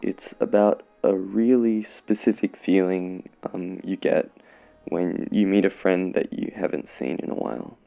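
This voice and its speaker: Brief: male performer as shy twenty-something looking for love, deep and gravelly, stammering, dull lo-fi recording. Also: fairly even intonation. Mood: sheepish. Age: young adult. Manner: halting, stammering, nervous giggles. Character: awkward, nervous 21-year-old lonely man recording a dating video. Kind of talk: comedic character monologue. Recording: dull lo-fi sound.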